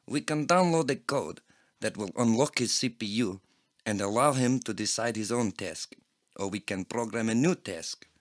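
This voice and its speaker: A man's voice: on the low side, moderate pace, natural voice. A man voicing a surly Eastern European computer programmer in an audio play, blunt and formally worded. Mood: businesslike. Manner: blunt, unfriendly, formal wording. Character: surly Eastern European male programmer and flea-market trader.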